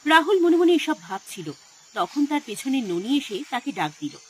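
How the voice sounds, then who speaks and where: A woman's voice: sits high, very animated, moderate pace, a little hiss or hum. A woman narrating a scripted horror story in a dramatic storytelling style. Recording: music behind the voice.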